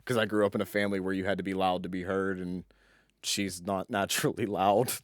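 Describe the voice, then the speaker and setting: A man's voice: deep voice, flat delivery, a little fast. A man in his twenties co-hosting a podcast in a blunt, informal, slangy way. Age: 20s. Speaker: podcast co-host. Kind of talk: casual podcast chat.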